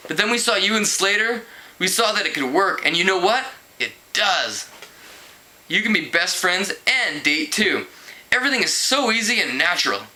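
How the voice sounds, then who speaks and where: Man reading as a cocky teenage schoolboy, high and bright. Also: big pitch swings, normal speed, heavily acted, low-quality recording. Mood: earnest.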